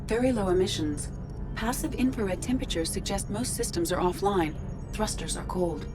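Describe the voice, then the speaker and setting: A woman's voice: mid-range voice, normal speed, close to an everyday voice. A woman voicing a composed, analytical ship computer in a video game, precise and detached. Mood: detached, factual. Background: loud music.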